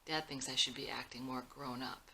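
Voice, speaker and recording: A woman's voice: rumbling low, fairly even intonation, moderate pace, vocal fry, sharp tone, a little hiss or hum, full character voice. A woman voicing a clever, polite eighteen-year-old grandson, earnest and mock-formal, in a screenplay read-through. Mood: playful, candid.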